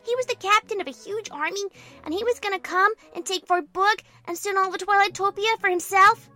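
A woman's voice: extremely high pitch, brisk, bright, forceful tone. A woman voicing a bossy, self-important little girl who plays army general, telling her story in an earnest, pompous, childlike way. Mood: earnest, boastful. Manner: earnest, pompous, childlike narration with barked orders. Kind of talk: first-person story narration. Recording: music behind the voice.

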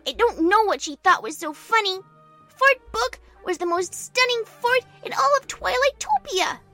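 Very high, bossy little girl general. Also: pushed and bright, full character voice. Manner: earnest, pompous, childlike narration with barked orders. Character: bossy self-important little girl playing army general. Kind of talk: first-person story narration. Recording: music behind the voice.

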